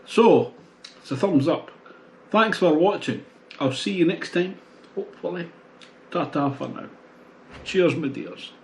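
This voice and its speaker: Slow-talking older man musing aloud. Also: a little high, vocal fry, a little hiss or hum. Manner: relaxed, musing, thinks aloud with pauses. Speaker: beer reviewer. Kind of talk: casual tasting review. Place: small home bar room, indoors.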